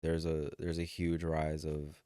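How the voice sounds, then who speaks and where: A young man from Morocco, a university senior, answers podcast questions in an easy, understated way, often pausing on "um". His voice is very low and smooth. The recording is close and dry. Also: no room sound. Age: young adult. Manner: relaxed, understated, dry humor, hesitant "um" fillers. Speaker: student guest. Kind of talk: casual podcast interview.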